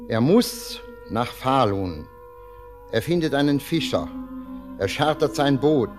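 Lively male radio play narrator. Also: mid-range voice, slow. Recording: narration over music.

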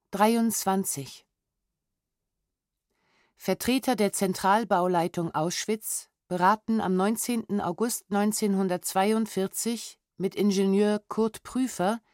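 A woman's voice: mid-range voice, darkish tone, no room sound. A woman narrates formal historical material in a mid-range voice. Her delivery is sober, with precise enunciation. The sound is very dry, with no room around it. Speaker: narrator reading historical documents. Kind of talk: formal scripted documentary narration.

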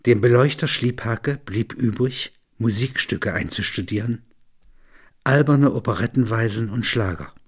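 A man narrates literary material in the role of reader and commentator. His voice sits at a middling pitch and has a somewhat husky, unpolished grain. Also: a little slow.

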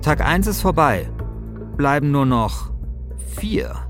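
A man's voice: on the high side, sing-song, slow, music in the background. A man, narrating a podcast from a script as its host.

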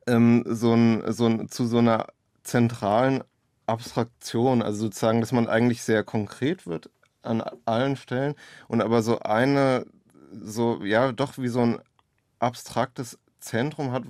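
A man speaking as an author in an unscripted discussion, halting and searching, often interrupting himself mid-sentence. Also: medium pitch, a little mellow, no room sound, clean studio sound. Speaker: author discussing his radio play. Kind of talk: unscripted panel conversation.

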